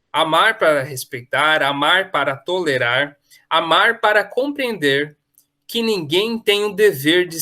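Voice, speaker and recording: A man's voice: sits high, bright, forceful tone. A young Brazilian man moderating an online talk, moving between informal hosting and reading verse aloud. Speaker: moderator, youth ministry coordinator. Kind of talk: informal hosting and poem recitation.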